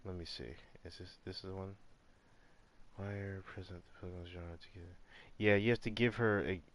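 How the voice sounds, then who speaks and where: A man's voice: sits low, steady tone, moderate pace, slight background noise. A man giving casual gameplay commentary, rambling and thinking aloud as he goes. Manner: rambling, thinking aloud, reading game text. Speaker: gamer on a live stream.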